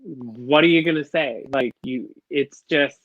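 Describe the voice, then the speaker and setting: A man's voice: mid-range voice, a little slow, a bit bright, no room sound. A man co-hosting a casual review chat, gushing and bubbly, full of "like" fillers. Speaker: podcast co-host. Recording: dull lo-fi dry sound.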